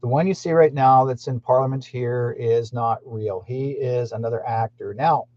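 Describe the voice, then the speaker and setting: A man's voice: medium pitch, normal speed, a little mellow. A man who hosts a channel, rambling indignantly in a monologue and speaking straight to his listeners. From Canada. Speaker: channel host. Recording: muffled lo-fi recording.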